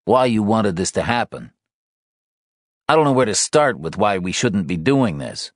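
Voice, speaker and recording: A man's voice: fairly low pitch, big pitch swings, normal speed, no room sound, voice pushed up. A man reading an audiobook voices a tired, defensive husband close to his own natural voice, in a weary, clipped way. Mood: pleading.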